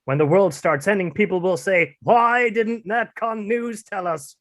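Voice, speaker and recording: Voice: medium pitch, unhurried, natural voice. A performer playing a self-important, melodramatic news anchor who babbles and stretches words for emphasis in a comic radio play. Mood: wistful, babbling.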